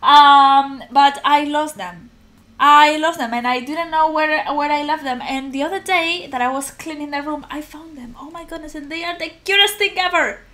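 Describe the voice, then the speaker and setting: A young woman from Spain with a Spanish accent, chatting casually to camera as a reaction host in an animated, halting, giggly way. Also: high-pitched. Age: young adult. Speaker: host of a music reaction channel. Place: small room at home, indoors.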